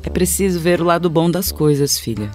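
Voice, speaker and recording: A woman playing a caring, practical middle-aged mother in a radio drama, gentle and coaxing. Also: on the low side, expressive, a little fast, music in the background, natural voice. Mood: gentle, encouraging.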